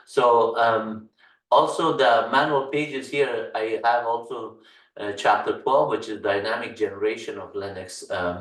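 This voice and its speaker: A man with an Afghan accent talks through a screen-share tutorial in a fairly low voice, stopping often on "uh" fillers. He sits back from the mic in a roomy space, so the sound comes with a clear echo. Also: recorded from a distance. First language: Farsi. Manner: halting, many "uh" fillers. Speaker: Linux course instructor.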